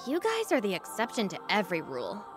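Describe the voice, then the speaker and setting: A woman's voice: medium pitch, huge pitch swings, fast talker, over music. A woman playing an earnest, confident young princess in a video game, with a direct, spirited manner. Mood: confident, friendly. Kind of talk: video game cutscene dubbing.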